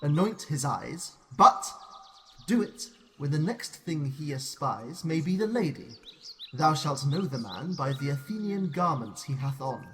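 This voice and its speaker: Man playing a slow, scheming fairy king. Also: on the high side, expressive, slight background noise. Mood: instructing. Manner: deliberate, incantatory, theatrical. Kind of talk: classical Shakespearean verse acting.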